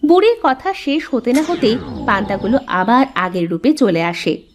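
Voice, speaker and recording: A woman's voice: fairly high pitch, sing-song, moderate pace, a little hiss or hum. A woman reading scripted narration for a cartoon story.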